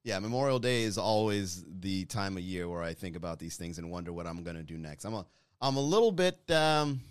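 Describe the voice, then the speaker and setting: Chatty man in his thirties, low voice. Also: animated, a little fast, clear, resonant tone, no room sound, studio quality. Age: 30s. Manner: rambling, self-deprecating, chatty. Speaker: comedian talking to viewers. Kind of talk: casual monologue to an online audience.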